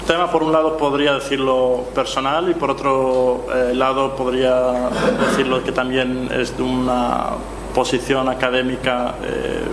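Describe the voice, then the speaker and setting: Halting young man in an echoey room. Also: medium pitch, monotone. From born in Amman, raised in Málaga. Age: young adult. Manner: halting, thinking aloud with repeated words. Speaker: doctoral student presenting research. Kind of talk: unscripted answers at a colloquium. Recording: echoey room with clear background noise.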